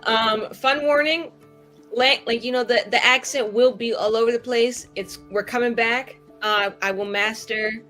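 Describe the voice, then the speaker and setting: A woman's voice: a little high, fairly even intonation, unhurried, bright, forceful tone, faint music behind. A woman introducing her tabletop game character casually and jokingly, chatty and full of slang and fillers. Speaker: tabletop roleplaying player.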